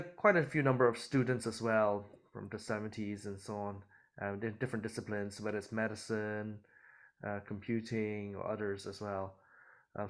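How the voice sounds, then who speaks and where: A middle-aged man from Hong Kong, a church elder, answering interview questions conversationally. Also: on the low side.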